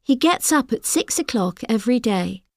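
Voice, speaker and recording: A woman's voice: a little high, very animated, moderate pace, no echo. A woman reading example sentences for language learners, each one carefully enunciated. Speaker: course audio speaker. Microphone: dry studio mic.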